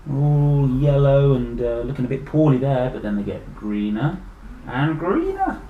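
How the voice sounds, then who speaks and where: In an echoey room with faint noise behind him, a British man reads a children's picture book aloud. His delivery swings up and down in a very lively way. He breaks off for enthusiastic, chatty asides straight to his young audience. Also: medium pitch, normal speed, narrow, tinny audio. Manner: enthusiastic, exclaiming and addressing young listeners directly.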